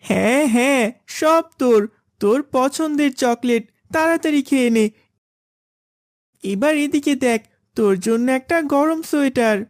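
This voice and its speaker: High-pitched, earnest young boy. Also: measured pace, darkish tone, no room sound, clean studio sound. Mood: affectionate, eager. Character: young boys: spoiled eager son and gentle poor elder brother. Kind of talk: animated Islamic moral story voice acting.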